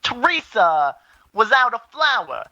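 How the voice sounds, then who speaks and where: A woman playing a lively, aggrieved young woman in an audio drama. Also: a little high, takes it slowly, no room sound, lo-fi sound, heavily acted. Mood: indignant. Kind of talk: audio drama acting, outtakes.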